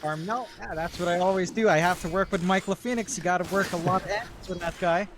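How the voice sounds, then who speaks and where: A man's voice: high-pitched, noisy background. Analytical male esports caster. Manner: brisk, analytical, joking asides. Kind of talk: live game commentary.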